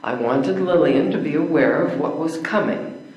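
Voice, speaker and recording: An older woman playing an outspoken, prickly meddler with dry wit in a readers theater play, reading in a measured, hesitant way. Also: low-pitched, lively intonation, a little mellow, muffled recording. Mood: indignant. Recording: very echoey room, distant stage pickup.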